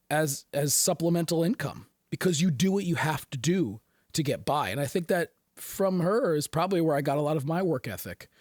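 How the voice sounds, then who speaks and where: A slightly hoarse man hosting a show, talking candidly in a rambling casual monologue full of fillers and restarts. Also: fairly high pitch, no room sound, studio quality. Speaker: host.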